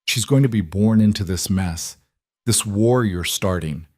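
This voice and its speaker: A man's voice: lowish voice, a little slow, a little mellow, no room sound. A man plays a pregnant estranged wife in an audiobook. She is weary and wary, challenging one moment and pleading the next, always guarded. The recording is dry. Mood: worried. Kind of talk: audiobook character dialogue.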